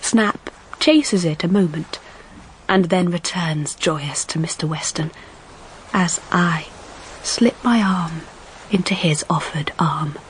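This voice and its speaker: An actress playing an earnest, idealistic young Victorian governess in a radio drama, speaking in a measured, heartfelt, literary way. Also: female voice, fairly low pitch, a little hiss or hum, poor audio. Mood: tender, rapt. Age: young adult. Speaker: actress and narrator of a period drama. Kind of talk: period radio drama acting and narration.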